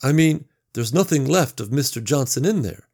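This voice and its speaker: Guy doing a jittery nerdy dude, rambly, husky voice. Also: mid-range voice, lively intonation, moderate pace, a little mellow, voice pushed up. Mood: uneasy. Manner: hesitant, rambling. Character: nervous bullied young man, zombie-lore nerd.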